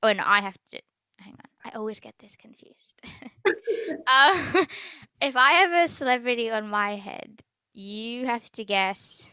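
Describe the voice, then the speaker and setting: A woman's voice: fairly high pitch, a little slow, bright, forceful tone, smooth, no room sound. A teenage girl hosting a podcast in casual chat, who stutters now and then and fills her talk with ums and giggles. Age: teens. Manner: stutters, many fillers and giggles. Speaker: podcast host.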